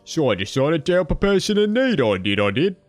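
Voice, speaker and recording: A cheerful undead skeleton worker, as a man puts him on, rambling in folksy slang. His voice sits a bit high and swoops up and down lively. Soft music plays behind him. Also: brisk. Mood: friendly. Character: friendly undead male skeleton laborer.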